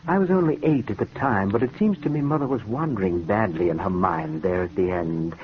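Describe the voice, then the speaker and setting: An actor playing a brooding, soft-spoken brother with a secretly sinister streak, in theatrical, measured 1950s radio drama style. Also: male voice, on the low side, natural voice. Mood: quietly insinuating. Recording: noisy, dull vintage radio recording.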